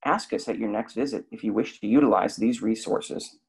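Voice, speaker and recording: Gravelly man narrating a health slideshow. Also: on the low side, fairly quick. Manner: steady explanatory reading with questions to the listener. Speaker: clinic health educator.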